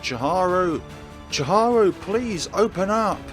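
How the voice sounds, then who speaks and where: A man plays a scared high-school student in a horror visual novel. The voice pushes up high and swings widely, pleading urgently and desperately with a friend, over music. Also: animated, slow. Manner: urgent, emphatic, stammering under shock. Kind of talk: dramatic visual novel voice acting.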